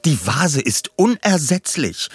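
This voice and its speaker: An elegant young female secretary, voiced by a male reader. She is brisk, formal and bossy, in a raised pitch, in an audiobook with music. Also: sing-song, breathy edge, dry recording, exaggerated voice. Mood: angry, scolding.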